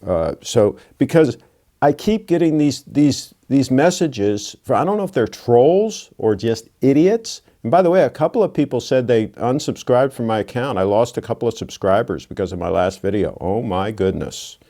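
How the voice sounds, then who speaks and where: A man's voice: medium pitch, lively intonation, moderate pace, mellow. Blunt sixty-year-old man. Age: 60s. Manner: blunt, lecturing, folksy asides. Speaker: watch channel host. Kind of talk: casual monologue to camera.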